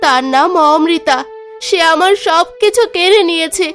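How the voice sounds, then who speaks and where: A woman playing a gentle, sorrowful young princess in a fairy-tale cartoon. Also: very high voice, normal speed. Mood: sorrowful recounting.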